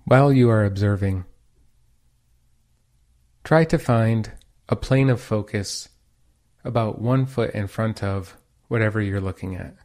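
A man leading a guided meditation as teacher, speaking in a calm, soothing, unhurried manner. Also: lowish voice.

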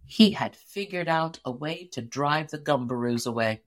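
A woman reading a story aloud as an audiobook narrator, in an expressive, measured storytelling manner. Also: deep voice.

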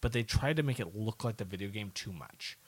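A man's voice: fairly low pitch, lively intonation, fairly quick. A man co-hosting a podcast, chatting informally and a little jokily about films and games. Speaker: podcast co-host.

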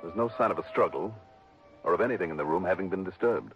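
A shrewd American insurance investigator in an old radio drama. His voice sits low, with a dry, clipped and probing delivery. The recording is muffled, with music behind him. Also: animated, fast talker. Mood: dry, narrating. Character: shrewd, skeptical male insurance investigator and narrator. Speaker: lead actor in radio detective drama. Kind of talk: early 1960s American radio drama acting.

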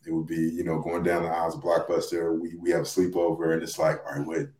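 A man in casual discussion on a podcast, thinking aloud in a rambling, halting way. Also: deep voice, monotone, darkish tone. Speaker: podcast panelist. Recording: roomy, echoing sound.

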